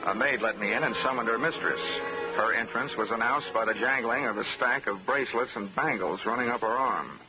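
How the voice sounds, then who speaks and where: A dry, wry insurance investigator, played by a man in a 1940s radio drama. His voice sits at an ordinary pitch, with easy, knowing narration. The broadcast is muffled, with music under it. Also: brisk, natural voice.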